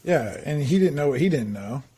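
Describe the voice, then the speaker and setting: A man's voice: mid-range voice, expressive, a little fast, dark tone, a little hiss or hum. A man in his forties hosting a podcast, chatting casually and often tossing in filler tags to check in with his guest. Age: 40s. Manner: chatty, leans on "right?" and "like". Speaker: podcast host. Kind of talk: casual interview chat.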